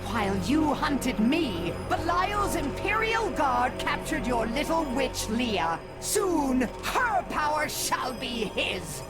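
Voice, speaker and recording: A woman's voice: sits high, very animated, takes it slowly, hardly any pauses, exaggerated voice. A woman voicing a haughty, fanatical cult witch, an imperious and theatrical villain in a fantasy video game. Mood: gloating menace. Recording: loud music behind the voice.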